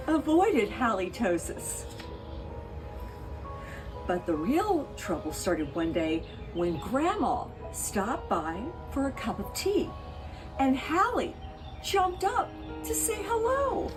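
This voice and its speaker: A woman reading a children's picture book aloud in an expressive, deliberate way, with playful asides to her listeners. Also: on the high side. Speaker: picture book reader for a pet rescue show. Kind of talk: animated children's storybook read-aloud.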